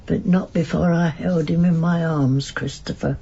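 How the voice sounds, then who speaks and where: An actress playing an elderly working-class mother, wistful and devoted, speaking deliberately in a naturalistic radio drama. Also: sits low, fairly even intonation, gravelly, dark tone, husky, dull audio, exaggerated voice. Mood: tender, grieving. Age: older.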